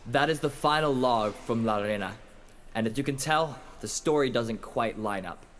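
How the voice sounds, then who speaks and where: A man playing a formal investigator who lectures in a measured, expository way in an audio drama. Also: mid-range voice, a bit bright, slight background noise, close to an everyday voice. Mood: measured, intrigued. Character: formal investigator lecturing on a mysterious lost ship. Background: faint music.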